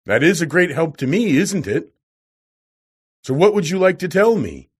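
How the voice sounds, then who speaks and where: A man's voice: a little high, big pitch swings, normal speed, slightly rough, voice pushed up. A man reading aloud who voices a hot-tempered, blustering older professor with a roaring manner. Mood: scornful.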